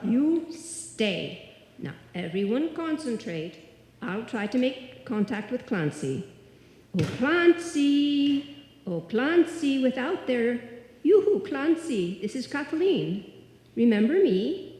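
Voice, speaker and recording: A woman's voice: a little high, sing-song, slow pace, warm tone. A woman playing a bossy older Irish widow and landlady in live old-time radio comedy, with a dramatic, crooning delivery. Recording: echoey hall, live stage performance.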